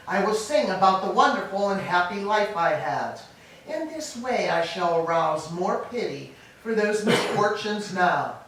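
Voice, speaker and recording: A woman's voice: fairly low pitch, fairly bright tone. A woman playing a grieving, proud old enslaved queen, declaiming laments in a staged reading of Greek tragedy. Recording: distant, echoey hall with background noise.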